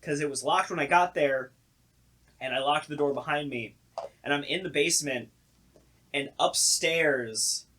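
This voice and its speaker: A man's voice: on the high side, unhurried, long pauses, pushed and bright, slight background noise. A young man co-hosting a podcast, telling stories casually and informally and acting out reactions as he goes. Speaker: podcast co-host. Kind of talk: casual storytelling chat.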